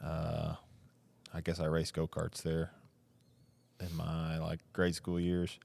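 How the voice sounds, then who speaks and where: A man's voice: very deep voice, monotone, takes it slowly, vocal fry, a little mellow. A young man, a race car driver, answering interview questions in a laid-back, hesitant way with many fillers.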